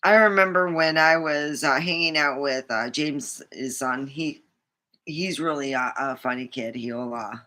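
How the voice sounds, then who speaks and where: A woman's voice: sits low, a little slow, pushed and bright. A woman vlogger chatting casually and rambling, with many fillers and restarts.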